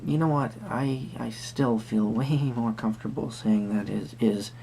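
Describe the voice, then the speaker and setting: A performer playing a gentle, eccentric tarot card reader in an intimate roleplay, musing and hesitant, with a breathy voice that often drops to a whisper. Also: mid-range voice, measured pace, a little hiss or hum, voice pushed down, low-quality recording. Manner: musing, hesitant, softly trailing off.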